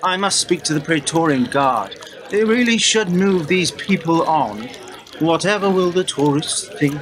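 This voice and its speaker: A man's voice: a little high, lively intonation, a little slow, fairly bright tone. A man playing a pompous, blustering Roman emperor in a comic radio play, declamatory and dismissive. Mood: irritated, dismissive. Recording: long hall-like reverb.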